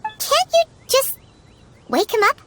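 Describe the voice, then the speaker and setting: Tiny cheeky fairy sidekick, extremely high squeaky voice, animated swings, game character, music behind. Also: female voice, slow pace, heavily acted. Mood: puzzled. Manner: refers to self in third person, squeaky. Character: tiny childlike fairy companion, chirpy and cheeky. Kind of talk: video game character acting.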